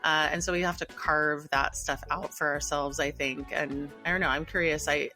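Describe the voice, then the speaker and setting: Woman podcast co-host, very low voice, relaxed and chatty, faint music behind. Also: moderate pace. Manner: friendly, easygoing, hesitant fillers. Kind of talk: casual podcast chat.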